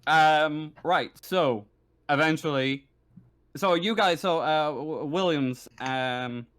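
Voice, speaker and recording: Halting man running a tabletop game. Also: a little high, a bit bright, clear, resonant tone. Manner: halting, stammering delivery with repeated words. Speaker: game master. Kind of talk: improvised narration of a tabletop roleplaying game.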